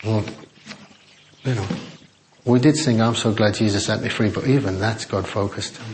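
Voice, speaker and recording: An older man preaching a chatty, self-deprecating sermon in a quiet voice to a church congregation on a small microphone. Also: on the low side, steady tone, normal speed, crowd noise, low-quality recording. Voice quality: quiet, soft-spoken voice. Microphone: small sensitive microphone.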